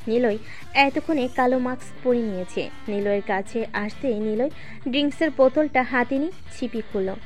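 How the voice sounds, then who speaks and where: A woman narrates a scripted audio story. Her voice sits fairly high, and she tells it steadily and dramatically over faint background music.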